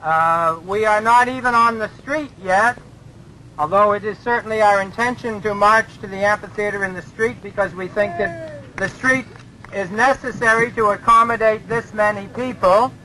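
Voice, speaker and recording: A man's voice: sits high, moderate pace, sharp tone, a little hiss or hum, poor audio. A man organizing a protest march, speaking emphatically and defiantly to the press on a city street.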